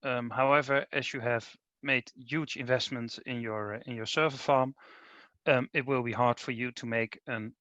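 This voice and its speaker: A man with a Dutch accent walks through a webinar presentation, filling his pauses with ums and uhs. The sound is dull and dry, with no room behind it. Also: mid-range voice, fairly even intonation, pushed and bright, no room sound. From Netherlands. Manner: hesitant, many ums and uhs. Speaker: managing director presenting.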